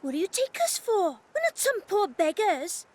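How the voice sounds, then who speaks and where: A woman's voice: very high voice, very animated, moderate pace. A woman voicing a proud young village child in a video game cutscene. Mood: indignant.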